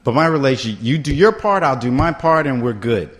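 A man's voice: mid-range voice, lively intonation, a little fast. A middle-aged man telling his own story at a recovery meeting, conversational and often checking in with the listeners. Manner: conversational, keeps asking "right?" to the room. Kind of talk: personal story told to a meeting.

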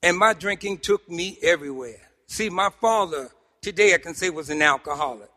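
High, bright-voiced New York man in echoey hall. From Harlem, New York City, United States. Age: middle-aged or older. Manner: animated storytelling with emphatic pauses and repeated phrases. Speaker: speaker at a recovery meeting. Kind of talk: personal testimony told to an audience with humor. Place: meeting hall, speaking to a group. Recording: echoey hall with long reverb.

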